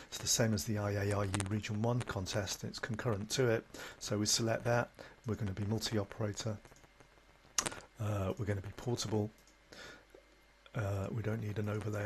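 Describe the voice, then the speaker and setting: A man with a fairly low voice presents an informal, step-by-step software tutorial. He is matter-of-fact and slightly halting as he demonstrates. There is background noise with some clicking behind the voice.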